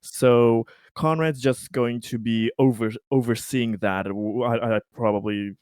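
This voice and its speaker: A man's voice: mid-range voice, steady tone, a little slow, darkish tone, smooth, no room sound, studio quality. A man playing a tabletop game, casually and hesitantly explaining what his character does, in a somewhat apologetic manner. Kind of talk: casual chat about in-game actions.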